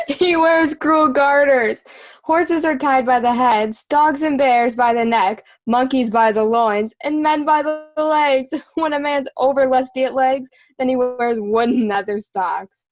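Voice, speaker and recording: A woman's voice: high voice, slow. A woman playing an impish, mocking court jester in a Shakespeare stage read-through. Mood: teasing.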